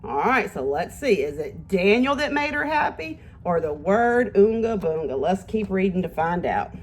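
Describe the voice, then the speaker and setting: A woman teacher giving an animated read-aloud lesson to young children, asking simple questions and encouraging them. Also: medium pitch, moderate pace, slightly rough.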